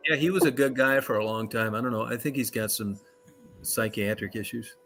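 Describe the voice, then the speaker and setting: A man's voice: mid-range voice, brisk, a crowd in the background. A man hosting a casual talk show, rambling with fillers and crude jokes. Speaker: show host.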